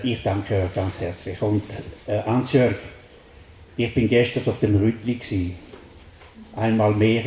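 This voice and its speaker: Swiss french guy speaking german, earnest and halting, dark mellow voice, echoey hall. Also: fairly low pitch, slight background noise. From French-speaking Switzerland. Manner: earnest, halting with many fillers. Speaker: guest speaker.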